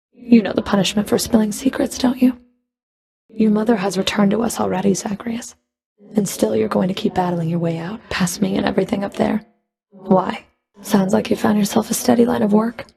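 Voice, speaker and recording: Casting: actress as stern female Fury guard for a video game; gravelly creak, mid pitch, cool sardonic taunts, curt commands. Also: mellow, natural voice. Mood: cool, taunting. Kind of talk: video game character voice lines.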